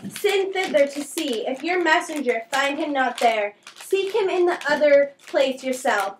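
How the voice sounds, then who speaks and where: A woman's voice: high voice, lively intonation, measured pace. A woman playing a mocking, riddling young prince in an amateur Shakespeare puppet show, declaiming old verse with a taunting edge. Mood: darkly taunting. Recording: echoey, distant, faintly noisy room recording.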